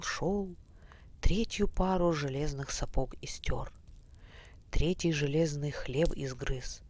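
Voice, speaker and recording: Slow hushed male bedtime storyteller. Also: mid-range voice. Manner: hushed, breathy, unhurried. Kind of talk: bedtime fairy-tale narration. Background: faint fireplace crackle.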